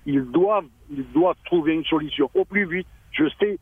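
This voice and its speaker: A man, a local mayor, speaking on a radio phone-in, halting and repeating words, with a choked-up voice. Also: on the high side, slightly rough, dry recording. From Algeria. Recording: tinny phone line.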